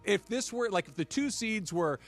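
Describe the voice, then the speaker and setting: A man's voice: high-pitched, animated, normal speed. Emphatic male sports talk host over music. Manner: emphatic, repeats phrases for effect, rhetorical questions. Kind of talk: opinionated sports debate.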